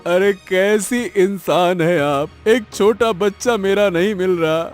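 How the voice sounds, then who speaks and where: A man's voice: high voice, takes it slowly, soft background music. A man voicing a desperate, grieving village father in a melodramatic cartoon story, with an overwrought delivery that repeats words. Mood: frustrated.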